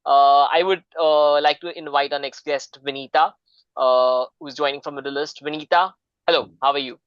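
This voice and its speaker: A man moderates an online seminar, polite and a little hesitant, with frequent fillers. His voice comes through smooth and clear. The call audio is dry and somewhat dull. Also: fairly high pitch, steady tone, moderate pace, a bit bright, no echo. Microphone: video call.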